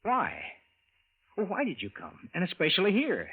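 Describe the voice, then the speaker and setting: A man's voice: high voice, wildly swooping pitch, moderate pace, slightly rough, exaggerated voice. A man acting a folksy, skeptical, bewildered American husband and narrator in an old radio drama, in a colloquial style. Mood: puzzled, pressing. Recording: tinny vintage radio recording, music behind.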